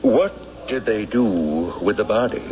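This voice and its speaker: A man playing a persuasive small-town banker and civic leader in a radio drama, measured, insinuating and argumentative. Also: fairly low pitch, animated, mellow. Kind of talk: old-time radio drama acting. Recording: dull old radio broadcast.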